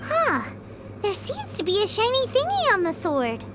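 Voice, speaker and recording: A tiny childlike fairy companion for an anime game. The voice is extremely high and very animated, excitable and cheeky, full of exclamations. Music plays behind it. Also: female voice, moderate pace, exaggerated voice. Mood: curious. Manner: refers to self by name, exclaiming. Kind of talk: anime game dub voice acting.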